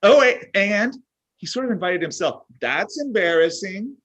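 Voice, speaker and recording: Man acting husky, swooping, oblivious boss. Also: high voice, lively intonation, moderate pace, a bit bright. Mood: oblivious, blunt. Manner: blurting, self-justifying, overeager. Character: oblivious self-absorbed male boss, needy and boastful. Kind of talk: comedy script table read. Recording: dull lo-fi online call.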